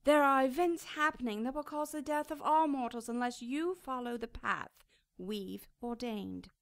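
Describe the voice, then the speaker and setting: High-voiced, imperious cherub. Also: female voice, normal speed, dry recording, studio quality. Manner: formal, commanding, pompous. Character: stern, imperious cherub, heavenly messenger. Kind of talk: radio drama acting.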